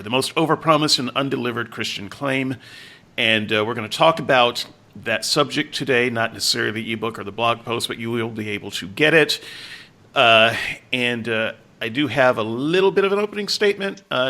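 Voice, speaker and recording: A man's voice: mid-range voice, bright, forceful tone. A man hosting a podcast panel, introducing guests with loose jokes and many fillers. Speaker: podcast host and moderator. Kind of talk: casual panel chat.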